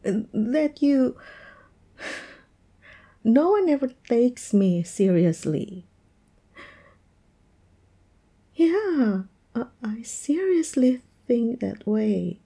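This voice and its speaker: A woman's voice: fairly high pitch, big pitch swings, vocal fry, warm tone, exaggerated voice. A woman acting an oversensitive young woman in an intimate audio roleplay, speaking to her partner in a hesitant, plaintive way. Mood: hurt, upset. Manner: hesitant, plaintive, halting delivery. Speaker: roleplay voice performer. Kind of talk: intimate audio roleplay, one-sided dialogue.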